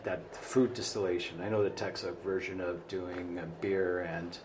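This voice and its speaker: Middle-aged male bar owner, low voice, halting rambly interview talk, noisy small-bar ambience. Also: fairly even intonation. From Portugal, raised in Canada. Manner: rambling, halting, trailing off quietly. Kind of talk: casual interview chat.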